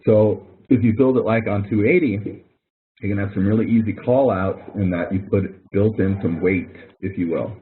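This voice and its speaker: A man's voice: lowish voice, moderate pace. A man instructor talking students through an assignment in a casual, explanatory webinar style, thinking aloud as he demonstrates. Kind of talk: webinar walkthrough. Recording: phone-like narrow audio from a webinar.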